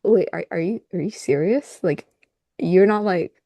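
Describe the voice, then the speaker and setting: A woman with a fairly low voice chats casually in a very dry recording. Her delivery is hesitant and self-deprecating. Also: normal speed, warm tone, dry recording. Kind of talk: casual chat.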